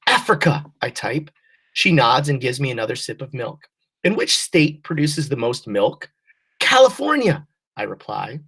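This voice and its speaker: Husky-voiced teacher reading aloud. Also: mid-range voice, sing-song, normal speed, a bit bright. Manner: encouraging, prompting readers. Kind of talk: reading a children's novel aloud to a class.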